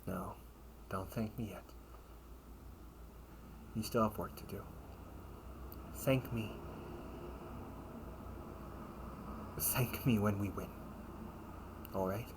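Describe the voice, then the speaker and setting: Slow, low-voiced loyal knight played by a man. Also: fairly even intonation, noisy background. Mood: gently encouraging. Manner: slow, halting delivery with breathy, hushed phrases. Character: loyal knight comrade, earnest and encouraging, gently chiding. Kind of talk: audio roleplay monologue, dramatic and intimate.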